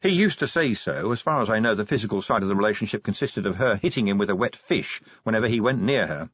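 A man's voice: fairly low pitch, lively intonation, fast talker, fairly bright tone, slightly rough, no echo, highs cut off. A man voicing a prickly, defensive female werewolf police officer in an audiobook story. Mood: defensive, irritated. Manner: snappish, defensive.

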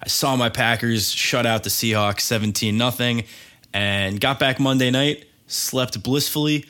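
A man's voice: medium pitch, steady tone, unhurried, pushed and bright, smooth. A man hosting a casual environmental podcast, a little stuffed up from a cold and upbeat as he talks. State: congested from a cold. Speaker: podcast host.

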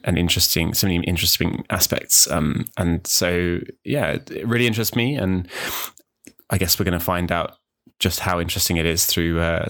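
A young man who grew up in New Zealand, hosting his own podcast in a relaxed, rambling way, with many ums and restarts. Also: sits low, creaky. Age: young adult. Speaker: podcast host, language student. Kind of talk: casual solo podcast monologue.

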